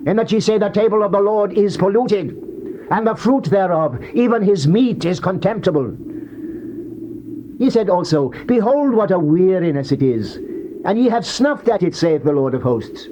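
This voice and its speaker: A man's voice: high voice, darkish tone. A man giving a solemn, theatrical dramatized reading of the King James Bible in a declamatory manner. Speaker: scripture narrator. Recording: dull, noisy old cassette tape.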